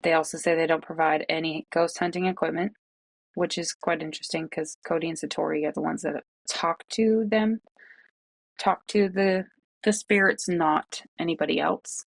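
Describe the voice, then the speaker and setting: A young woman from the with a gravelly, fry-edged voice that sits on the low side, talking in a casual vlog. She rants with incredulous, exasperated asides. The recording is dry and close. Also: steady tone, normal speed, no room sound. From Massachusetts, North Shore of Boston. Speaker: true crime YouTuber.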